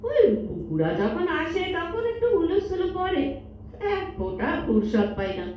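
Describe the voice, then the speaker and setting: A woman playing a doting, hospitable elderly grandmother in a staged audio drama, homely and gently teasing. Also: very high voice, huge pitch swings, moderate pace, heavily acted. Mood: welcoming, homely. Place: multipurpose hall stage. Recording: distant, echoey hall recording, muffled, faint noise.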